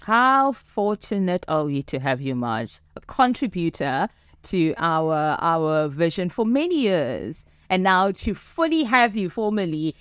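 A woman's voice: fairly low pitch, big pitch swings, clean tone, no echo, studio quality. A woman hosting a podcast, addressing her listeners as "good people" and affirming her guests. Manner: slow, warm-welcoming, affirming. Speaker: podcast host.

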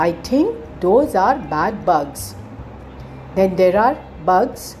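Woman playing a slow, patient old grandfather. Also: medium pitch, low-quality recording. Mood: patient, instructive. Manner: calm, explanatory.

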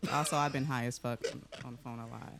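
A woman with a deep voice, a guest chatting casually and laughing easily on a podcast. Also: fairly even intonation, fast talker, gravelly, a bit bright, slight background noise.

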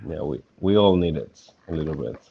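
A young man from Argentina, a guest chatting casually in an interview, laughing often and trailing off softly. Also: rumbling low, expressive, a little fast, creaky, husky. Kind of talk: casual chat. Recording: dull lo-fi remote audio.

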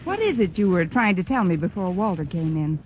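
An actress playing a sardonic, wisecracking English teacher in an old radio comedy. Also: female voice, fairly low pitch, very animated, fairly quick. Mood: wry. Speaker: schoolteacher. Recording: dull old radio broadcast, noisy.

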